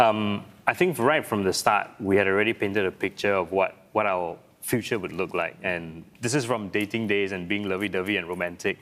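A man shares his own experience as a guest. His voice is very low and conversational. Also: fairly quick, few pauses, a bit bright. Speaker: panel guest. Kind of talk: personal account in discussion.

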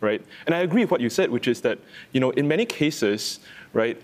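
Fast-talking man in reverberant hall. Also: mid-range voice, animated, a little hiss or hum. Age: 30s. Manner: ends phrases with a checking "right?". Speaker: panel guest.

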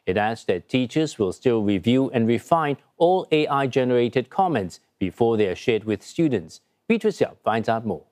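A man anchoring a television news bulletin, reading the introductions in a measured, formal way. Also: medium pitch, a little mellow.

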